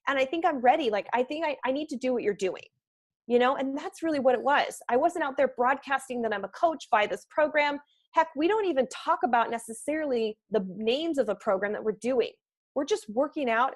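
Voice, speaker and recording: A woman fitness coach giving a chatty, enthusiastic motivational talk. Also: fairly high pitch. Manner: chatty, enthusiastic, full of fillers. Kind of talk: motivational presentation.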